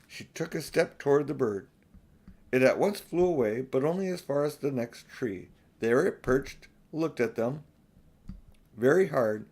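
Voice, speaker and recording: A man's voice: medium pitch, darkish tone. An older man reading a children's storybook aloud as narrator. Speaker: audiobook reader.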